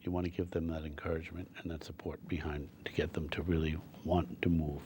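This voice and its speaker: Older man, interview guest, very low voice, mellow dark tone, earnest, repeats himself. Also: level tone, a little hiss or hum. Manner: earnest, halting, repeats words often. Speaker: guest on a talk show. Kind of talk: casual interview conversation.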